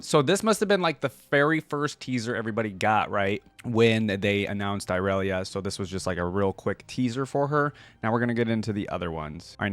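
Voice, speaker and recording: Low-voiced man giving casual reaction commentary. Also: dry recording. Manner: informal, slangy, rambling. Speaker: reaction video host.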